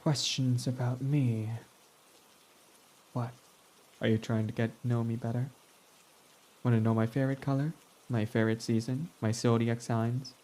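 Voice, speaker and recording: A man's voice: mid-range voice, flat delivery, normal speed, pauses often, warm tone, natural voice, low-quality recording. A man playing a sarcastic, snarky villain. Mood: irritated. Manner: sarcastic, mocking, dismissive. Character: snarky, prickly young male villain. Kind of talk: ASMR roleplay audio.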